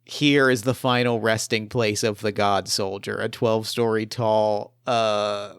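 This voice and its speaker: Male game master, improvised narration, deliberate dramatic phrasing, unhurried, dry close sound. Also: lowish voice, level tone, clear, resonant tone, no echo. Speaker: game master and podcast host.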